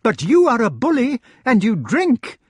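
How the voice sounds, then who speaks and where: A shrill, high-pitched noblewoman, as a male narrator plays her, wailing and pleading with great indignation. She is a melodramatic storybook lady in peril, her voice pushed up far above normal speech. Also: wildly swooping pitch, a little slow, breathy edge, no room sound, studio quality, exaggerated voice. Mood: indignant. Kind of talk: audiobook character voice.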